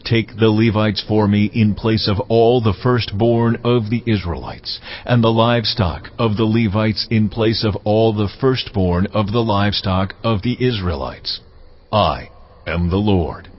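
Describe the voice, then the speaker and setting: Deep, measured voice of God. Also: male voice. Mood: solemn, commanding. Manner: measured, authoritative, formal. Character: God, solemn male deity giving commands to Moses. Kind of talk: dramatized scripture reading. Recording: slightly muffled, music underneath.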